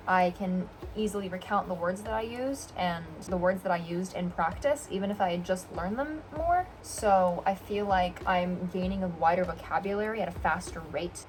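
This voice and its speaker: A woman's voice: medium pitch, slight background noise. Rambling young American woman vlogging. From United States. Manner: rambling, lots of "like" and restarts. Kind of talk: casual vlog talk to camera. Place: indoors, a rented home room.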